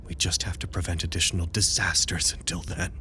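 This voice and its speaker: Low-voiced, wry male researcher. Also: little pitch movement, brisk, pushed and bright, slight background noise. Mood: grave, analytical. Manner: formal, wordy, dry wit. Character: dutiful, wry male researcher at an underground anomaly site. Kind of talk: audio drama, recorded log monologue.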